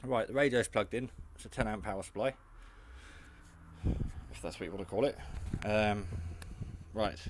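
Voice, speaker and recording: A man's voice: on the low side, moderate pace, long pauses, background noise. A man giving a casual, hands-on product demonstration in a hesitant, rambling, matter-of-fact way. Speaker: hobbyist radio reviewer. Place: garage.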